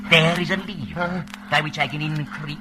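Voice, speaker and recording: An actor playing a calm, menacing male inquisitor of a totalitarian party, deliberate and condescending, in a radio drama. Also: a little high, music in the background, lightly acted. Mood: coldly fervent. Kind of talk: old radio drama acting.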